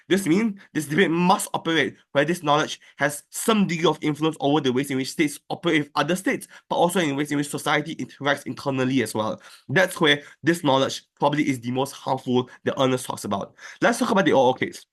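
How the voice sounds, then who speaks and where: Male debater, lightly raised pitch, fast structured rebuttals over a call mic. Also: a bit bright. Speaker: debate speaker. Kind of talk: competitive debate speech. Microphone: online call, own mic.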